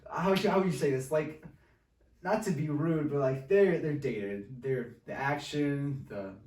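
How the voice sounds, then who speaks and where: A man's voice: fairly high pitch, measured pace, darkish tone. A man co-hosting a podcast, discussing films in a casual, conversational way. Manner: informal, conversational. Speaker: podcast co-host. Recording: roomy echo, distant microphone.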